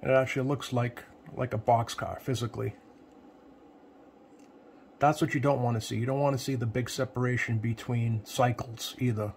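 A man giving a casual hands-on tutorial as a repair technician, plain-spoken and often pausing mid-sentence. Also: medium pitch, fairly even intonation. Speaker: CB radio repair technician presenting. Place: indoor electronics workbench. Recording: clear background noise, home workbench room.

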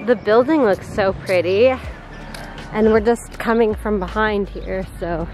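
A woman's voice: mid-range voice, a little slow, music in the background. A young woman in her twenties chatting cheerfully to a handheld camera outdoors near vineyards. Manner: chatty and upbeat. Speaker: travel vlogger. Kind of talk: casual vlog narration. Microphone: handheld camera at arm's length.

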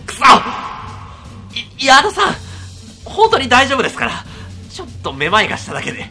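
A bright, lively voice of a smug office worker, played by a man who narrates his schemes in overblown inner monologue. Pitch swings wide and the sound is crisp. It plays in a long reverb with music underneath, as a comedy radio drama. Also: fairly quick, heavily acted. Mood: scheming.

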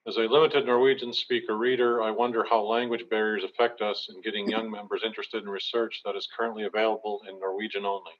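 A middle-aged man moderating an online talk, reading audience questions aloud in an even, steady way. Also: lowish voice, fast, few pauses. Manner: steady, reads questions evenly. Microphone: webcam on a video call. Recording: slightly dull video call audio.

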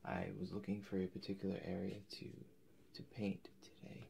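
A man in his twenties in a soft-spoken ASMR roleplay as an eccentric, polite painter, halting and slipping into whispers. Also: deep voice, flat delivery, gravelly, a little hiss or hum. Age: 20s. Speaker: ASMR performer. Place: indoors in front of a forest backdrop.

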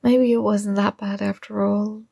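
A woman's voice: medium pitch, level tone, a little slow, gravelly, dark tone, breathy edge, no echo, studio quality. A woman playing a shy, nerdy young classmate in a romantic ASMR roleplay, flustered and smitten, speaking in a hesitant, awkward way. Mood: tender, sincere.

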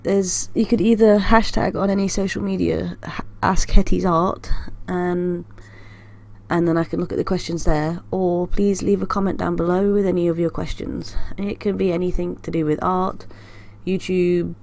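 A woman's voice: fairly low pitch, steady tone, normal speed, creaky, a little mellow. A young woman hosting her art channel, talking casually with a congested voice from a cold, with many ums and restarts. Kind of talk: casual vlog chat.

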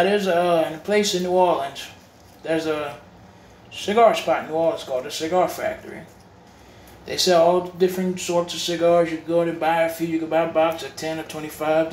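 A man talks through a casual home review, filming on a phone he holds himself. His voice sits a little high and wanders, restarting phrases as he goes. The room is echoey, with a faint hum of ambience behind him. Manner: rambling, repeats and restarts phrases. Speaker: cigar vlogger. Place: home room with bare walls. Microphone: phone held while filming.

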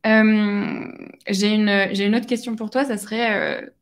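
A young woman hosts an interview over a video call. Her voice has a gravelly fry and stays flat in pitch. She asks her questions casually, hesitating and restarting phrases often. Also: measured pace. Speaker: interview host.

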